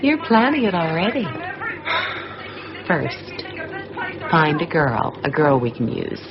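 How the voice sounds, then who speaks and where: An actress playing a cold, seductive, domineering woman plotting murder in a 1990s radio drama, speaking insistently and with deliberate command. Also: big pitch swings, a loud crowd, heavily acted. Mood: coolly commanding. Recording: dull radio copy with loud rumble.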